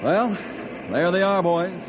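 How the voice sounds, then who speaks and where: An actor playing a steady, confident western ranger in an old time radio drama, plainspoken and reassuring. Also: male voice, sits high, slow pace. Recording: muffled old radio recording with noise.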